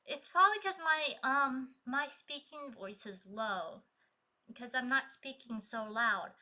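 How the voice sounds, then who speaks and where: Woman, live stream chat, very bright tense tone, slow delivery, laughs a lot. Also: high voice. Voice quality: trained, projecting singing voice. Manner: chatty, laughs often, reads chat aloud. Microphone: streaming desk mic.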